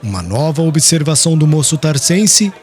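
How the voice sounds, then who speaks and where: Expressive higher-voiced male audiobook narrator. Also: normal speed, some chatter behind. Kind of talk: dramatic scripted narration of a religious novel.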